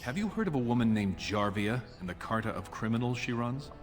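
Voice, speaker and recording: An actor playing an ambitious dwarf prince in a video game, speaking formally and with resolute authority. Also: male voice, medium pitch, lively intonation, a little fast, faint music behind, natural voice. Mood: measured, grave. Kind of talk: video game character dialogue.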